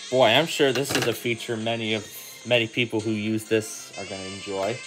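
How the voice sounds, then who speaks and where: A man's voice: fairly low pitch, level tone, a little fast, music in the background. A man giving a casual hands-on tutorial as its host, thinking aloud as he works through the steps indoors. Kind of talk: casual hands-on app demonstration.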